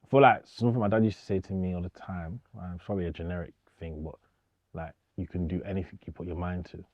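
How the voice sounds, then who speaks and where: A man's voice: sits low, fairly even intonation, fast, no echo, muffled recording. A young man, speaking informally with slang and repetition as a DJ being interviewed. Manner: informal, slangy, repeats himself. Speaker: DJ, interview guest. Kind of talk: casual documentary interview.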